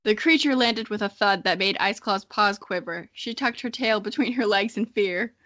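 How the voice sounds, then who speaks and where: A young woman podcast host with a bright, forward voice, recorded very dry and close. She narrates a childhood fantasy story with drama and slips into amused, self-mocking casual remarks. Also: medium pitch, moderate pace, no room sound.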